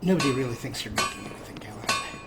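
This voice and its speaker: A man's voice: medium pitch, expressive, fast, gravelly, very noisy. A man hosting a let's play, giving casual game commentary with wry, dry humor. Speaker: gaming video host.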